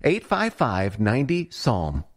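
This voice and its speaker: Male commercial read: earnest, persuasive, conversational, mid-range pitch. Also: huge pitch swings, measured pace. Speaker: commercial announcer. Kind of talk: read radio ad.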